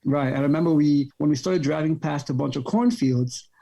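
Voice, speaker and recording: A man from Cameroon, a guest on a podcast, tells his story in a reflective, halting way. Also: on the high side, gravelly, warm tone. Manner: reflective, halting, with many fillers and restarts. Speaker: guest, student advisor. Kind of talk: conversational storytelling.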